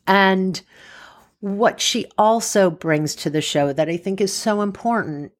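A woman with a soothing voice hosting a solo podcast, talking informally and explaining things in an unhurried, conversational way. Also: mid-range voice.

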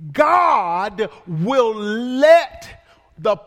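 A man's voice: sits high, sing-song, drawn-out words, long reverb tail. A male pastor preaching a sermon in a playful way, with lots of repetition and call-and-response to the congregation.

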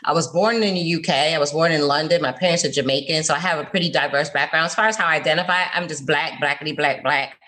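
A woman in her forties from South Florida, a college professor being interviewed on a podcast, who talks in a lively, earnest way and laughs easily. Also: sits low, fast talker, few pauses. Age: 40s.

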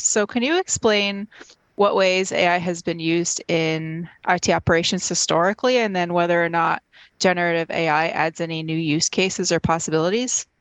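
A woman hosts an interview podcast, her voice sitting a little low for a woman. The sound is close and dry, and she phrases her questions casually, with easy fillers. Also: a bit bright, no room sound. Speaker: podcast host, news editor. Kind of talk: interview hosting.

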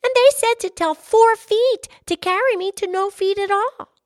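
A woman's voice: very high-pitched, expressive, normal speed, no echo, studio quality, voice pushed up. A woman voicing an earnest, determined little girl with an eager, pleading manner in a storybook tale. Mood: eager insistence.

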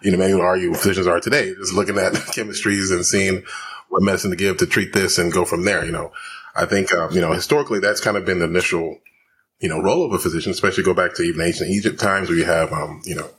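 Deep voiced doc guest, rattles along quick, lotta "you know"s. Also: male voice, steady tone, a bit bright, slight background noise. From Houston, Texas. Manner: rapid, many fillers and restarts. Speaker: guest physician. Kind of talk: podcast interview.

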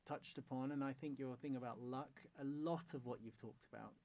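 A man's voice: mid-range voice, fairly even intonation, brisk, background noise. A man hosting a podcast interview, thinking aloud with frequent restarts and hedging. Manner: thoughtful, hesitant, frequent restarts. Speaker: podcast host. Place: Norway.

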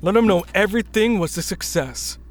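Man acting brisk, casual rebel comrade. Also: sits high, background noise, natural voice. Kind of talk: sci-fi radio drama acting. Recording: music bed under the voice.

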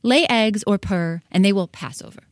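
A woman's voice: medium pitch, moderate pace, dry recording, clean studio sound, lightly acted. A woman voicing a bossy, conceited hen who scolds in an audio storybook. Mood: scolding. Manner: scolding, self-important.

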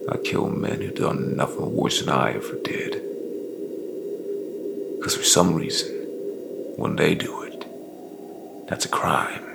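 An actor playing a grim, remorseless bounty hunter and war veteran in a dark fantasy audio drama, confessing in a breathy, half-whispered rasp with a brooding, folksy drawl. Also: male voice, rumbling low, very noisy, heavily acted. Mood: bitter, accusing.

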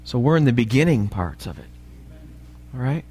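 A male pastor with an American accent and a slightly raspy voice, preaching a sermon and often checking in with short questions to the congregation. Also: mid-range voice, animated, moderate pace, warm tone. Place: church, preaching live. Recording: live church recording, long hall reverb.

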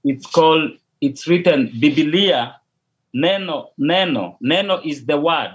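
A Kenyan pastor talks in a slow, deliberate way, often pausing and restarting. The sound is dull and lo-fi. It comes over a video call. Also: male voice, a little high, expressive. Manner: slow, measured, with hesitations and restarts. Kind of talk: casual video call interview.

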